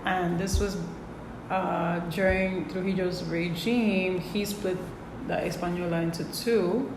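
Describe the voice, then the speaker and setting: A woman's voice: on the low side, steady tone, takes it slowly, background noise. Dominican woman in an echoey room. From Dominican Republic. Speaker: guest. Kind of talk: casual interview.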